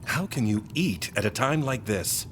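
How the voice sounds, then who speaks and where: An actor in an audio drama playing a concerned, proud senior scholar and mentor who questions insistently. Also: male voice, lowish voice, lively intonation, moderate pace, background noise, natural voice. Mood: wary, insistent. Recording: music under the voice.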